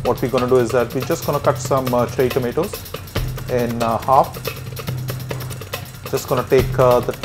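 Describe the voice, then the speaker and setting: A male chef in his thirties walks through a recipe in a demonstration kitchen. His voice is medium in pitch, and light music plays behind it with some sizzling from the pan. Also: fairly even intonation, normal speed, pauses often. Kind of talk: cooking demonstration.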